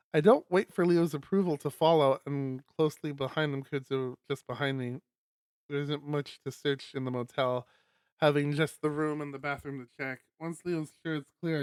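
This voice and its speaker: A man's voice: medium pitch, a little mellow, clear, resonant tone, no echo. A man streaming a visual novel, reading first-person fiction aloud. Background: guitar music.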